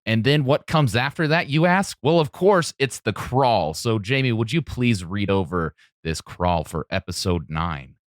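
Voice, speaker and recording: Lower-voiced man hosting a dry-sounding podcast. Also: expressive, a little slow, few pauses, no echo. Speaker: podcast co-host. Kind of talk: podcast hosting, posing questions to guests.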